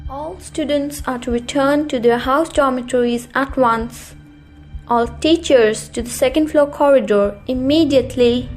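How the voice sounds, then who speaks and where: A woman playing a stern, authoritative older school professor in an audio drama, speaking formally and gravely. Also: high-pitched, unhurried, darkish tone, soft background music. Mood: commanding.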